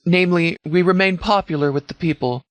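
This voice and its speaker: Guy acting a game sheriff giving orders, formal n deliberate, voice a bit high, pretty dry sound. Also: no room sound, lightly acted. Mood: stern, resolute. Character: commanding, measured sheriff leading an armed department. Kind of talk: scripted video game dialogue, briefing subordinates.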